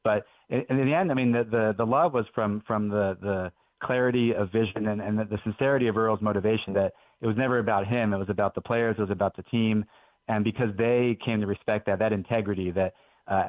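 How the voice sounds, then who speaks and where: A man's voice: on the low side, no echo. A man in his forties, from Belgium, telling stories as a guest author in an earnest, enthusiastic way on a radio interview. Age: 40s. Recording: dull lo-fi radio audio.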